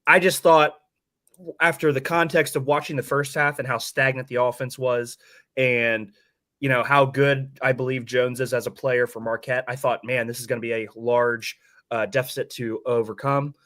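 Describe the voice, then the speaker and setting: Male sports podcast host, hoarse, medium pitch, casual and candid game-breakdown talk. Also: fairly even intonation, moderate pace, a bit bright.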